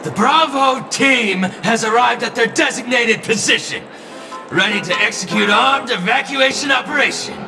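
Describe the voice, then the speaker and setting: A gung-ho corporate security boss in a game, barking orders in a high voice. Loud game music runs under him. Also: male voice, moderate pace. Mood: bossy. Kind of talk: game voice acting.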